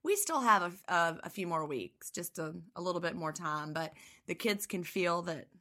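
A woman co-hosting a podcast, a teacher whose voice is slightly hoarse, talking in a friendly, chatty way with some restarts. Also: on the low side, expressive, normal speed, bright, forceful tone. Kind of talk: casual podcast chat.

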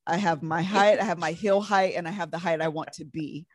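A woman in her twenties hosting a casual chat, telling stories in an animated way. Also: fairly low pitch, fairly quick, crowd noise. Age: 20s.

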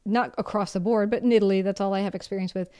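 A woman's voice: mid-range voice, fairly even intonation, fast talker, mellow, clean tone. A woman co-hosting a podcast, chatting casually and thoughtfully about reading and food, with easy laughs. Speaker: podcast co-host. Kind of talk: casual chat.

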